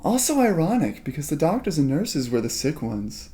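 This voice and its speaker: A man playing a sinister young ghost twin in an ASMR roleplay, teasing and romantic, with theatrical, drawn-out phrasing. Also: fairly high pitch, big pitch swings, warm tone. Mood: dreamily fascinated.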